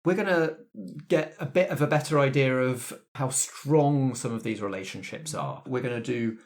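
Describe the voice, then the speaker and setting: A man's voice: medium pitch, moderate pace. A man hosting as game master, introducing and explaining a tabletop game to players. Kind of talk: actual-play podcast hosting, explaining game rules.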